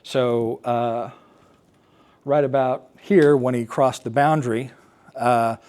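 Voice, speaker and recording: An American man giving a personal talk, recounting his experience as an air traffic controller in a halting, explanatory way with pauses. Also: medium pitch, steady tone, darkish tone. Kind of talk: personal storytelling talk to an assembly.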